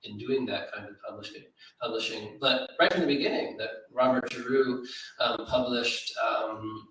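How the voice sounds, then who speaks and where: Tentative man, bright voice, very echoey room. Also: mid-range voice. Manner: tentative, halting. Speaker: editor on a literary panel. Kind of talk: unscripted literary discussion. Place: indoor library room at a live event. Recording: very echoey room, far from mic.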